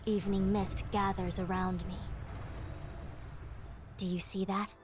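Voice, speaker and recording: Woman voicing a composed strategist lady in a fantasy game, formal n measured, mid pitch, music under it. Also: level tone, natural voice. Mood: solemn. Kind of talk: scripted video game dialogue.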